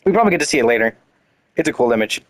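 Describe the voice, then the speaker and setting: Guy with a normal pitched voice reading out game lore, casual and really into it. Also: very animated, very fast. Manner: enthusiastic, swears casually. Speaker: gaming content creator.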